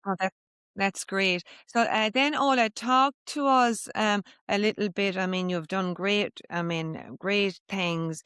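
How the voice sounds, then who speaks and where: A woman from the United States hosting an interview podcast, supportive and quick with agreeing remarks, sometimes restarting her sentences. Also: medium pitch, a bit bright, clear, resonant tone, dry recording, clean studio sound. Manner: supportive, frequent "mm" and "yeah" backchannels, hesitant restarts. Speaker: podcast host.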